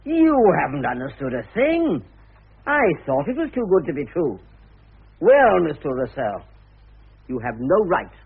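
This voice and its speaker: An actor playing a slick, cynical, domineering publicity promoter in a radio play, with brisk theatrical delivery. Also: male voice, fairly high pitch, big pitch swings, creaky, husky, full character voice. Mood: indignant, scornful. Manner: brisk, domineering, self-satisfied. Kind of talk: theatrical radio drama acting. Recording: tinny narrow-band old radio recording, faint noise.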